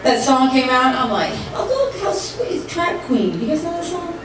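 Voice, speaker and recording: A woman in her forties performs stand-up with a high, playful, animated voice. She is telling stories on a club stage, heard from far off through heavy echo, with clear audience noise around her. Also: moderate pace. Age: 40s. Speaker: stand-up comedian. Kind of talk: live stand-up comedy routine. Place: comedy club stage, indoors. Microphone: handheld mic, captured by far camera. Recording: distant, echoey club recording with audience noise. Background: audience crowd noise and laughter.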